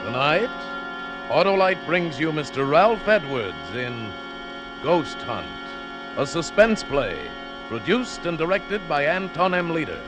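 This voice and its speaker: Slow, dramatic radio show announcer. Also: male voice, on the high side, sing-song. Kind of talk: 1940s radio show introduction. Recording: 1940s radio broadcast over loud music.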